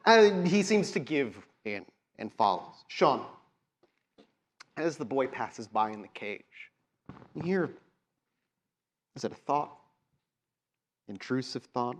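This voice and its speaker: A man's voice: mid-range voice, animated, slow, long pauses. A man narrating as game master in a live tabletop roleplaying show. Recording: live convention stage recording.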